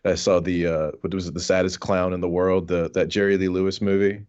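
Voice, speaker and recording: A man with a very deep voice talks casually on a comedy podcast. He explains silly things as if they were perfectly serious. The sound is a little dull. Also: level tone, brisk, a little mellow, clean tone. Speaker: podcast co-host.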